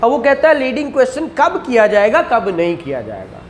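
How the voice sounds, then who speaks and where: A man's voice: extremely high pitch, wildly swooping pitch, fairly quick, a little hiss or hum. A man, teaching a law class and explaining points with rhetorical questions and acted examples. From Pakistan. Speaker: law lecturer. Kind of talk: classroom lecture.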